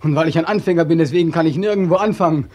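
An actor playing a despairing, homeless soldier back from war, emotional and pleading, in 1940s radio drama style. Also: male voice, on the high side, rapid-fire, a little mellow, dry recording, natural voice. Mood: bitter, anguished. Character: despairing returned soldier, homeless, anguished and naive. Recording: dry 1940s radio recording.

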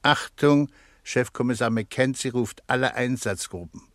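Officious chief inspector played by a man, mid-pitched, very dry sound, impatient authority, radio crime comedy. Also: normal speed, fairly bright tone, dry recording, natural voice. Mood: brisk, commanding. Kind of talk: German radio crime satire acting.

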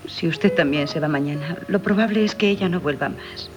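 An actress with a Castilian accent playing a yearning, emotional young stepdaughter in theatrical 1970s radio style. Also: female voice, deep voice, brisk, music in the background, natural voice. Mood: suspicious, reproachful. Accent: Castilian Spanish. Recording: old radio broadcast, background noise.

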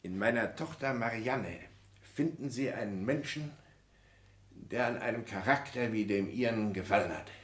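A man's voice: on the low side, normal speed, close to an everyday voice. An actor playing a genteel, proud count and father in a 1960s German radio drama, speaking in a formal, polished way. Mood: gracious, urbane.

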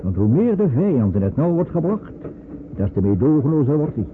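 A muffled, noisy old radio drama recording. a calm wartime resistance escort, steady and reassuring, giving measured instructions in a middle-pitched voice with lively intonation. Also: male voice. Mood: earnest, steady. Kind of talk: Dutch radio play acting.

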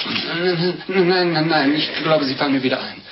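An actor playing a preachy, long-winded priest with a solemn, halting delivery in an old radio play. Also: male voice, a little high, lightly acted. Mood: stalling. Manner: solemn, theatrical, halting sermonizing. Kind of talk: 1950s German radio play acting. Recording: muffled, noisy old radio play recording.

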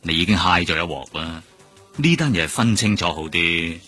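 Weary old-hand cop, Hong Kong accent, gravelly bass, forceful edge, cynical, sardonic, radio drama. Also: male voice, expressive, normal speed, heavily acted. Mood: blunt, sardonic. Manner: blunt, sardonic. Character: cynical, world-weary veteran male police detective. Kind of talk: Cantonese radio drama acting. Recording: music bed behind the voice.

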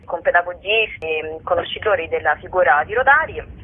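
A woman's voice: fairly low pitch, lively intonation, moderate pace, slightly rough. A woman being interviewed as a project's creator, explaining earnestly in long, wordy sentences. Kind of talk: spoken radio interview. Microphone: telephone. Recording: narrow, tinny phone line.

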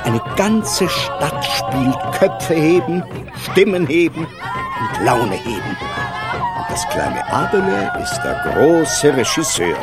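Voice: medium pitch, wildly swooping pitch, takes it slowly, loud music behind the voice, over a noisy crowd. An actor playing a theatrical storyteller who savours the words while narrating a whimsical children's radio play. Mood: amused, vivid. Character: expressive storyteller narrating a whimsical town festival.

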